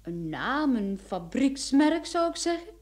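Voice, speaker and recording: An actress playing a sharp, confident female private detective in a radio play, explaining things in an assured, theatrical way. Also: high voice, sing-song, a little slow, heavily acted. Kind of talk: Dutch-language radio drama acting.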